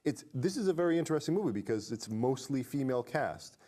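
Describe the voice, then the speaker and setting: A man co-hosting a casual film discussion, analytical and animated, posing questions to his partner. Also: medium pitch, quick pace. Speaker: podcast co-host.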